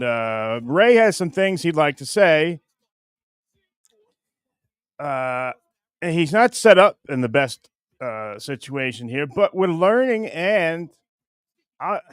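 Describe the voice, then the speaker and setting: A man hosting a casual comedy show, talking straight to viewers in a halting, stop-and-start way. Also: a little high, no room sound, studio quality. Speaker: show host introducing a puppet bit.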